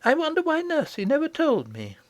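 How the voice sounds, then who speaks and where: A woman's voice: sits high, huge pitch swings, a little fast, dry recording, pitched above the performer's own voice. A woman voicing an innocent, curious little princess in a storybook audiobook, with eager, frank, childlike questions.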